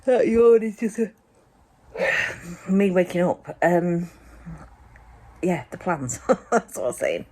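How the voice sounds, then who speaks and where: A woman vlogging from a cold sunroom at home first thing in the morning, chatting in a rambling way with plenty of ums, her voice still croaky from sleep. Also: lowish voice, very animated, slow pace, pauses often, warm tone. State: croaky, just woken up. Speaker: vlogger, mother. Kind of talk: casual morning vlog chat to camera.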